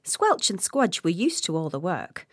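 A woman's voice: on the low side, big pitch swings, unhurried, dry recording, clean studio sound. A woman narrating a scripted fairy tale for children as a storyteller. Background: music.

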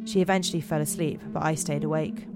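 A woman's voice: deep voice, steady tone, fast talker, over loud music. A woman putting on an American accent to narrate a frightened first-person horror story. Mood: tense, eerie. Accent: attempted American. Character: narrator of a creepy campfire forest monster story, frightened young American.

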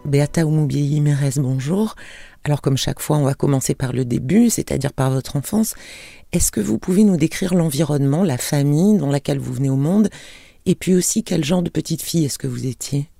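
Gravelly-voiced male interviewer. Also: fairly high pitch, brisk, mellow. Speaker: interviewer host. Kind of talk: scripted introduction then interview questions.

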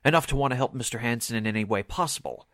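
An actor playing a smug, catty columnist's assistant in a 1940s-style radio drama, with arch, sarcastic insinuation. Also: male voice, medium pitch, rapid-fire, fairly bright tone, dry recording, clean studio sound, close to an everyday voice. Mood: sly, insinuating.

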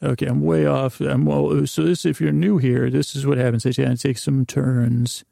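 A man hosts a sleep podcast in a mellow, dark voice thick with vocal fry. His delivery is a soft-edged, meandering drawl that keeps interrupting itself and wandering into silliness. The intonation stays quite even throughout. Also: mid-range voice, no room sound, studio quality. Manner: meandering, halting, silly, self-interrupting. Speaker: podcast host. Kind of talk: rambling bedtime podcast intro.

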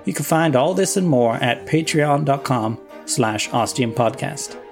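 A man's voice: mid-range voice, lively intonation, unhurried, creaky. A man hosting a podcast, reading a scripted recommendation and credits. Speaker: podcast host. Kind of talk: scripted promo and credits narration. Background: music.

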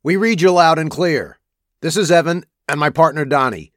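A man's voice: fairly high pitch, normal speed, background noise, lightly acted. A man playing an obedient, businesslike hired criminal in his forties in an audio drama. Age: 40s. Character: husky middle-aged hired kidnapper, obedient and businesslike.

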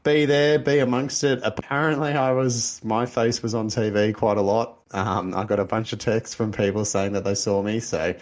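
A hoarse man with an Australian accent co-hosting a casual football podcast and pushing the talk along. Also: lowish voice, flat delivery. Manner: chatty, quick to move things along. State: hoarse from yelling. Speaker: podcast co-host. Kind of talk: casual sports chat.

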